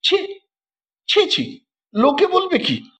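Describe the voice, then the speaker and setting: A man's voice: very high voice, wildly swooping pitch, slow, pitched above the performer's own voice, exaggerated voice. A man voicing an anxious, reproachful and doting wife in a dramatized story. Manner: sharp, emotional protests and laments.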